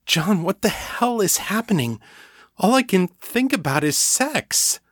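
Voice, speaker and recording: Frantic young supernatural woman, a man puts her on, high and urgent, dry close sound; audiobook role. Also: very animated, a little slow, fairly bright tone, no echo, heavily acted. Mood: alarmed. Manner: rising, urgent pleading.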